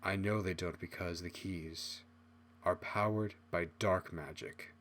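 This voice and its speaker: A man voicing a stern, earnest male fox who speaks gravely in a fantasy audiobook. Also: low voice, steady tone, measured pace, fairly bright tone.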